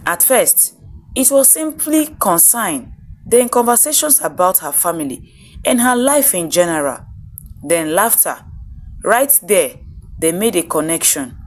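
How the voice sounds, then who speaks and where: A woman storyteller reading a scripted story with dramatic, deliberate phrasing. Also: on the low side, sing-song.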